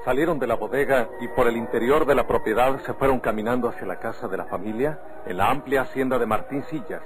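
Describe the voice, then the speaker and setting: A man's voice: medium pitch, quick pace, over music, close to an everyday voice. A man playing a composed storytelling narrator of a golden-age radio drama, with a Mexican accent. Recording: faintly noisy old radio recording.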